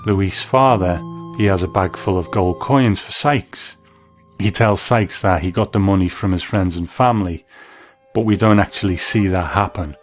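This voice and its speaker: A man with a lowish voice presents scripted commentary as a host. He sounds reflective and conversational, with music playing faintly behind him. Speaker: host and reviewer.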